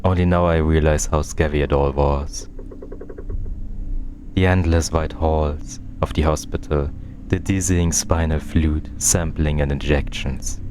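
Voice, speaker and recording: A man voicing an introspective, melancholy twin in a visual novel, narrating in a measured, confessional manner. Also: very deep voice, noisy background. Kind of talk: scripted narration. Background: loud game music.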